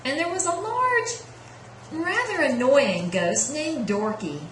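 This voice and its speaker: A woman's voice: high voice, expressive, slow, fairly bright tone. A woman narrating a children's story as a puppet-show storyteller. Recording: roomy sound with clear background noise.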